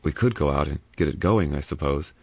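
A man's voice: a bass voice, brisk, creaky, slightly rough, no room sound, heavily acted. A man reading aloud, voicing a practical man who speaks in a hesitant, matter-of-fact way. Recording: slightly muffled dry recording.

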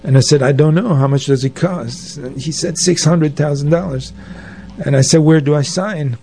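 An older man telling anecdotes as an interview guest, in a halting, conversational way. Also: fairly high pitch, warm tone. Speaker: interview guest, arts organizer. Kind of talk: conversational reminiscing.

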